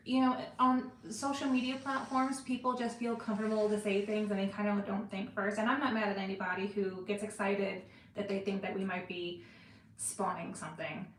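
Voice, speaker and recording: A woman's voice: medium pitch, a little hiss or hum. Chatty woman in her forties, echoey room. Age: 40s. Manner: chatty, self-deprecating. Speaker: co-host of a live stream. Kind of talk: casual chat.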